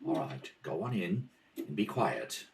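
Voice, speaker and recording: Man playing a wry, lively detective husband. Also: sits low, unhurried, recorded from a distance, a little hiss or hum, exaggerated voice. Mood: wry, commanding. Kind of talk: amateur radio mystery read-through. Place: at a kitchen table at home.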